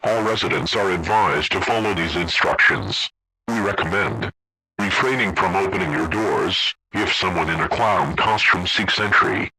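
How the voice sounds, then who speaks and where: A man's voice: low-pitched, normal speed, bright, forceful tone, rough recording. A man reading a scripted emergency broadcast announcement in a formal, stiff manner.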